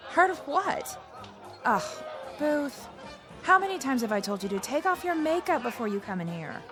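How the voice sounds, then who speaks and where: A party-loving, flippant wife in an audio drama. Her voice sits fairly high and swings extravagantly as she flirts and brushes people off. Music plays behind her. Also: very animated, normal speed, a crowd in the background. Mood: dismissive. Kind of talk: audio drama acting with period slang.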